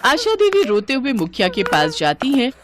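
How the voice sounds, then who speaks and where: A woman's voice: on the high side, wildly swooping pitch, moderate pace, no echo. A woman narrating a scripted moral story as a storyteller.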